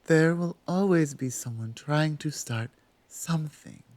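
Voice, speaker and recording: A woman playing a stern female minotaur guard captain with a soft heart in an ASMR roleplay, speaking deliberately with weighty pauses. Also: low voice, dry recording. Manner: deliberate, pausing, commanding. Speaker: audio roleplay performer. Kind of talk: ASMR roleplay acting, addressing the listener.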